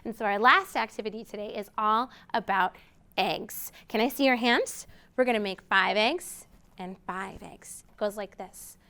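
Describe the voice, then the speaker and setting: A woman's voice: mid-range voice, moderate pace, sharp tone, clear, resonant tone. A woman hosting a storytime for young children, reading aloud expressively and prompting them with simple questions. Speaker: children's storytime host at a library.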